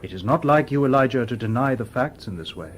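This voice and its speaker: An actor in a radio drama playing a calm, coolly logical humanoid robot detective with formal, precise speech. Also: male voice, mid-range voice, fast, close to an everyday voice. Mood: calm, probing.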